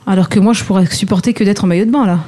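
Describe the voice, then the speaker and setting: A woman's voice: medium pitch, steady tone, rapid-fire, dark tone. A woman hosting a casual group chat, talkative and teasing, laughing often, with a sore throat. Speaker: host.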